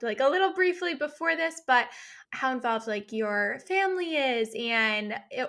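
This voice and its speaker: A woman's voice: a little high, bright, forceful tone. A young adult woman hosting a podcast interview, upbeat and chatty, with lots of "like" and "kind of" fillers. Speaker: podcast host. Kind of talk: casual interview chat.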